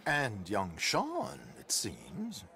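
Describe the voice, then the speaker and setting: A dapper gentleman rogue of the Wild West in a game cutscene. His speech is urbane and theatrical, slow and measured, with pitch that swoops widely. There is faint noise behind him. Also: huge pitch swings, creaky, exaggerated voice. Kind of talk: cinematic western game acting.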